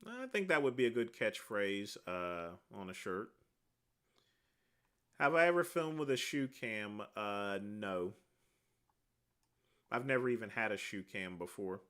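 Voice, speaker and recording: Lively deep-voiced man chatting on a livestream. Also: a little slow, long pauses, smooth. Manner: rambling, answers viewer questions one by one with many uh fillers. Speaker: livestream host answering chat. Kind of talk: casual livestream chat.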